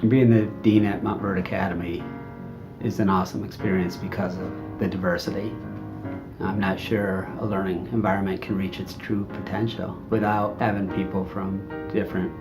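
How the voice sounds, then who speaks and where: A man speaking as a school dean in a documentary interview, in a measured, formal way. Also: sits low, little pitch movement, rough recording. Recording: soft music underneath.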